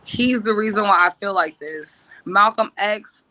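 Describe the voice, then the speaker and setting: A woman's voice: medium pitch, unhurried, bright, forceful tone, no room sound. A woman talking at length in an interview clip, insistent and assertive, repeating her points. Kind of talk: rambling monologue. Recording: dull lo-fi clip.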